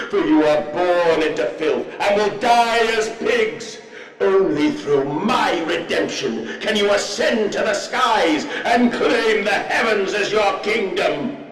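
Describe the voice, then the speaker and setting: A fanatical machine villain from a video game, heard from a distance in an echoing space. The voice is slow and rather high, swinging widely as it preaches with grandiose scorn. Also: male voice, lively intonation, a bit bright, soft background music, full character voice. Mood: fanatical rapture. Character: sentient industrial machine, fanatical, mocking male zealot.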